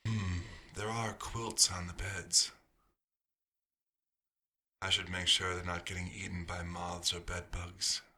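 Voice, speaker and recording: A quiet, nostalgic vampire doctor, played by a man in an ASMR roleplay. His voice sits very low and stays nearly flat, with a very sharp, bright edge. He murmurs to himself without hurry, fussy and gently wistful. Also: heavily acted. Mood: wistful, resigned. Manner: unhurried, murmuring to himself with pauses.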